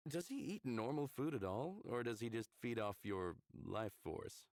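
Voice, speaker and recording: A man's voice: mid-range voice, very animated, normal speed, no echo, clean studio sound. An actor playing a curious, candid human man in a visual novel, talking casually and a little flirtatiously.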